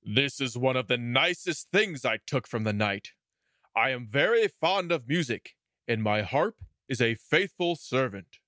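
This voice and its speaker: A man voicing a roaring, greedy male giant in a storybook reading, with slow booming threats. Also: animated, pushed and bright, dry recording, studio quality. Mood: commanding.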